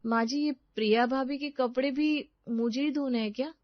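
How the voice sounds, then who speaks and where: Overworked young daughter-in-law performed by a woman, high and pleading, family melodrama, slightly dull audio. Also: steady tone, moderate pace, no echo, close to an everyday voice. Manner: plaintive, protesting. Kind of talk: Hindi family drama storytelling.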